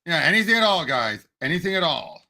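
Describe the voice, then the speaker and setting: Man, podcast co-host, piercingly bright, creaky edge, casual debating, emphatic. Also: on the high side, very animated, quick pace.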